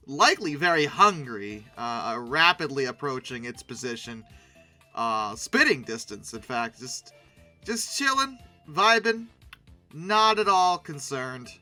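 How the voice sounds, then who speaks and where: Bright, expressive commentator with swooping pitch. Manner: expressive, exclamatory, emphatic; sarcastic, wry asides. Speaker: video host commenting on images. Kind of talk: casual humorous commentary.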